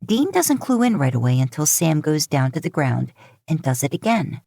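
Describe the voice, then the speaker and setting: A woman's voice: low-pitched, sing-song, moderate pace, creaky, warm tone, no room sound, studio quality. A woman reading scripted fiction aloud as an audiobook-style narrator. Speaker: podfic narrator.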